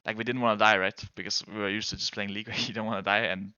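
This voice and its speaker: Danish-native male streamer, lowish voice, dry close sound, hesitant and rambling. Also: flat delivery, very fast, pushed and bright, clean tone, no echo, lo-fi sound. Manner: rambling, hesitant, restarts sentences. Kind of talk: casual chat with viewers while gaming.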